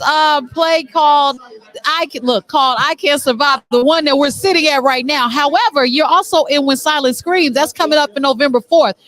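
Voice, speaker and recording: High-pitched woman, middle-aged, talk show host, chatty, playful, effusive, casual interviewing. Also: fairly bright tone. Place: on location at a theatre after a stage play.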